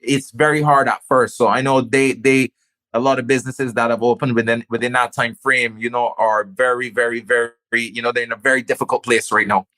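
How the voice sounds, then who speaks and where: A man's voice: mid-range voice, fairly even intonation, a little fast, sharp tone. A man with a Barbadian accent, a business owner talking casually and stressing his points by repeating phrases. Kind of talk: casual conversation.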